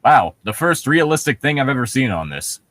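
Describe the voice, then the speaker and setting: A man's voice: mid-range voice, big pitch swings, brisk, fairly bright tone, no room sound. A sarcastic young man joking and reading casually as co-host of a comedy story reading.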